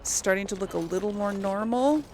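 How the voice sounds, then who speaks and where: A woman's voice: mid-range voice, normal speed, darkish tone, slight background noise, low-quality recording. A woman giving casual, rambling commentary on a video game, thinking aloud to her viewers. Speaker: host of a video game let's play.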